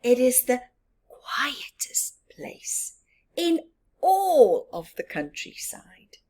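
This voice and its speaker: A woman voicing a calm, welcoming badger in a children's storybook, hushed and breathy, almost whispering. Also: high-pitched, drawn-out words, exaggerated voice.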